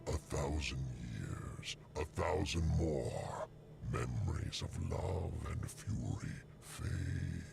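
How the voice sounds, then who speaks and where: An actor voicing a menacing ancient male spirit who recites dark verse theatrically in a video game, with an ominous, breathy growl. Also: extremely low pitch, big pitch swings, background noise, exaggerated voice. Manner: slow, ominous, dramatic. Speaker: prophecy narrator.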